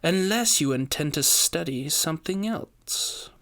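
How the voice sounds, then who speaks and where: An elegant society hostess, as a male narrator puts her on, speaks in a lifted, genteel voice. She is formal and measured, a seductive woman of wealth in a dramatic audio story. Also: fairly high pitch, lively intonation, a bit bright, voice pushed up. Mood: sultry, coy.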